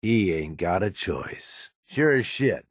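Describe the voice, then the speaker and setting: Gruff low-voiced American raider schemer. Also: male voice, animated, takes it slowly, dry recording, studio quality, full character voice. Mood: urgent, persuasive. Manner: blunt, streetwise, pushy. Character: gruff, cunning male raider schemer, blunt and impatient. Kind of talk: video game character dialogue.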